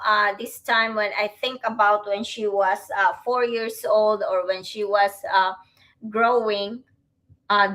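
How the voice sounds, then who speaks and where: A middle-aged woman with a Filipino accent hosting a livestream, talking to viewers in a reflective, halting way. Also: medium pitch, a bit bright, poor audio. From Philippines. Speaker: host, online business owner.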